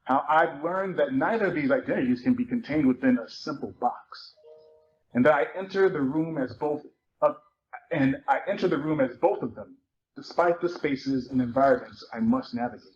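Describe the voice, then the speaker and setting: A man's voice: medium pitch, moderate pace. A man reading a memoir aloud in a dramatic reading at a live event. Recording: muffled, noisy live event recording.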